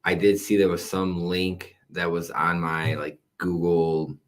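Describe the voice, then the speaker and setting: A man's voice: sits low, little pitch movement, a little slow. A man in his thirties hosting a casual wrestling podcast, rambling and self-deprecating. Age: 30s. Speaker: podcast host.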